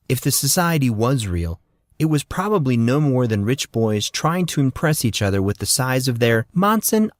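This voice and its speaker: A man's voice: lowish voice, dry recording, clean studio sound. A man narrating scripted fiction as an audiobook storyteller.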